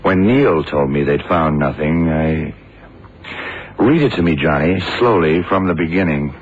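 An actor in a 1970s radio drama playing a shrewd, brooding detective who talks in deliberate, clipped phrases. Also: male voice, rumbling low, slightly rough, heavily acted. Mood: quietly intent. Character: shrewd, brooding male police detective in charge. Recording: muffled old radio broadcast, background din.

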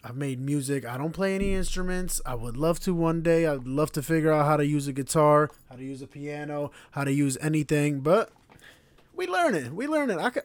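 A man around thirty hosting his own podcast in a casual, hyped, slangy monologue with sudden high shouts. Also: no room sound. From grew up in the United States. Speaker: podcast host.

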